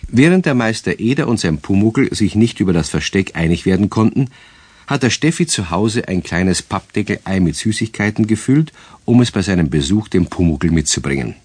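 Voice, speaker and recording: A man's voice: on the low side, animated, moderate pace, darkish tone, slightly rough, dry recording. A man narrating a children's radio play as a scripted storyteller. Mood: storytelling.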